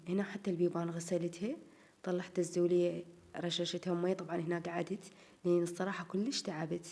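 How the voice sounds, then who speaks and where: Iraqi woman, home vlog voice-over; tired, lowish warm tone, rapid chatty delivery. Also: creaky. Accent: Iraqi Arabic. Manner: chatty, addresses viewers as girls. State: tired, slightly strained voice. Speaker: home vlogger. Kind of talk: casual voice-over narration of a home routine.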